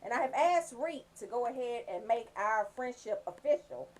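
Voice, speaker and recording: A woman hosting a radio show, giving casual, comedic shout-outs in a sassy, joking, profane way. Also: a little high, animated, normal speed.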